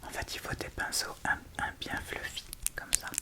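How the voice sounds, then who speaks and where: A woman whispering softly and informally through an ASMR makeup tutorial, with hesitant, unhurried phrasing. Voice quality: soft unvoiced whisper. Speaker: ASMR video creator.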